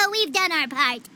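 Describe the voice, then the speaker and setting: A woman voicing a tiny, cheeky, bossy fairy companion in a video game, with a squeaky childlike voice and an emphatic delivery. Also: very noisy, heavily acted. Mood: smug. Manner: emphatic, refers to self in third person. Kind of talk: animated video game acting. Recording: loud background music.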